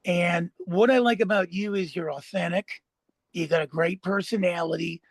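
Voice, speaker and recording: A man's voice: high voice, fairly even intonation, normal speed, vocal fry, dry recording. An American man hosting a casual interview, rambling and agreeable as he talks. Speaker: podcast host, interviewer.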